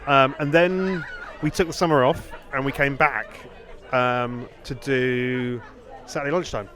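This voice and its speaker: A man's voice: medium pitch, fairly even intonation, measured pace, a bit bright, a faint crowd. A British man chatting casually as a podcast host, in a rambling, stop-start way. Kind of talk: casual chat.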